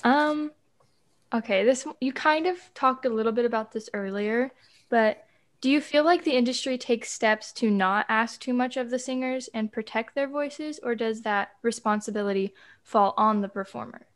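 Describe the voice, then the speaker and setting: A woman with a slightly high voice hosts a casual podcast interview. She asks her questions in a friendly way, with some hesitant phrasing. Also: moderate pace. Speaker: podcast host.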